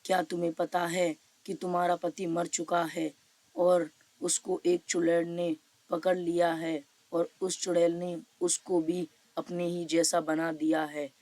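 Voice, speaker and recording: A woman's voice: on the low side, level tone, unhurried, darkish tone, natural voice. A woman voicing an authoritative, paternal male village headman in a cartoon story, questioning, reassuring and giving orders. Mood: grave questioning.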